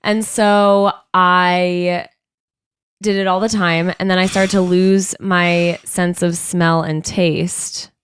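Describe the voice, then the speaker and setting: A woman's voice: on the low side, flat delivery, slow, clean tone, no room sound. A woman co-hosting a podcast with a stuffy, congested nose, telling stories in a chatty, rambling way full of fillers. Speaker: podcast co-host. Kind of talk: casual chat. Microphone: studio microphone.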